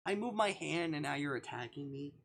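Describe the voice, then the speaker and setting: A man's voice: on the high side, lively intonation, a little fast, a bit bright. A man livestreaming a game casually, reading visual novel dialogue aloud with offhand, murmured asides. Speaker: streamer. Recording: clear background noise.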